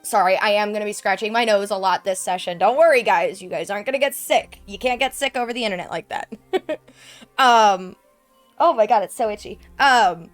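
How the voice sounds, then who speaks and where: A woman with a congested, stuffy voice from a cold, giving rambling, casual commentary while playing a video game. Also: medium pitch. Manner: chatty, rambling, lots of fillers. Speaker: let's play streamer.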